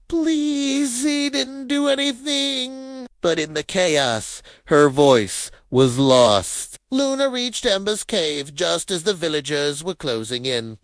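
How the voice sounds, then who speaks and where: A woman narrating a children's cartoon story in an expressive, dramatic manner. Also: low-pitched, dry recording, clean studio sound.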